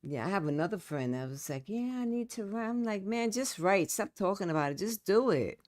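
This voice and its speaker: A woman's voice: medium pitch, animated, brisk, darkish tone, no echo, studio quality. A middle-aged woman with a New York accent, a guest poet and author chatting casually, repeating words and trailing off. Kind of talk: casual conversation.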